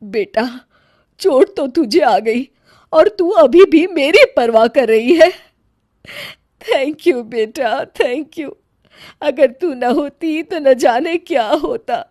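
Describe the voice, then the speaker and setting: A woman's voice: sits high, expressive, takes it slowly, no room sound, studio quality. A woman voicing a stern, bossy middle-aged Indian mother-in-law in a cartoon family story. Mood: grateful, remorseful. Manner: sharp, bossy, scolding. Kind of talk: Hindi moral-story cartoon acting.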